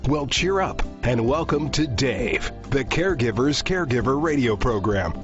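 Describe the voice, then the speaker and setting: A male announcer reading a scripted introduction to a radio program. Clear music plays underneath, and the voice sounds slightly dull and lo-fi. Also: mid-range voice, animated, moderate pace. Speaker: show announcer.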